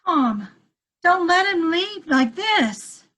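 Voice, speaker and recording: A woman acts a pleading mother who tries to keep the peace in a stage drama. Her voice is very high and slow. It is heard over a video call. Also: big pitch swings, a bit bright, full character voice. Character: Esther, pleading peacemaking middle-aged mother. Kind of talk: amateur play table read.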